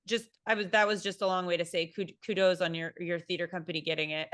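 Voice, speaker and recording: A woman's voice: on the low side, steady tone, quick pace, a bit bright, no echo, clean studio sound. A woman speaking casually as a theatre panelist, thinking aloud and searching for her words.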